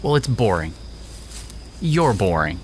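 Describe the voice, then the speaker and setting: A man's voice: fairly low pitch, very animated, slow pace, slight background noise. A man playing a blunt, needling companion in an audio drama. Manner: blunt, teasing. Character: bored, needling, animated male companion to a soldier.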